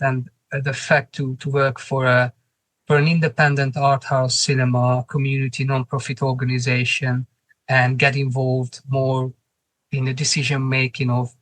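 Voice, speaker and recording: A man from Hungary with a Hungarian accent, answering interview questions in English as a guest, often pausing and restarting his phrases. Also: mid-range voice, a bit bright, no room sound. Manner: halting, restarts phrases, non-native grammar. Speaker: cinema manager, guest.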